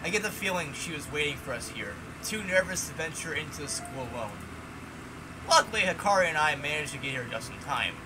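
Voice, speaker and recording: Guy reading a dating sim out loud, highish voice, bounces all over, noisy mic. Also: fairly quick. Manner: animated, swears freely, jumps between reading and commentary. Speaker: let's-play streamer reading a visual novel aloud. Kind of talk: reading game text and ranting commentary.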